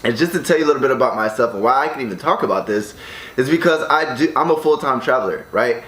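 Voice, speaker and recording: An American man speaking straight to his audience in an energetic, salesy way, often tagging his sentences with "right?", recorded in his condo. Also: mid-range voice, quick pace, reverberant. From United States. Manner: energetic, upbeat, salesy, with frequent "right?" tags. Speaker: online business vlogger. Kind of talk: casual direct-to-camera vlog. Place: condo room.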